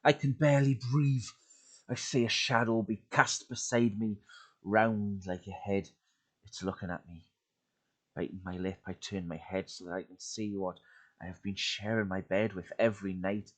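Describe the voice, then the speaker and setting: A man giving a dramatic reading of a horror story into a home desk mic, swinging from loud urgency to hushed, breathy lows. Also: fairly even intonation, normal speed. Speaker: YouTube creepypasta reader.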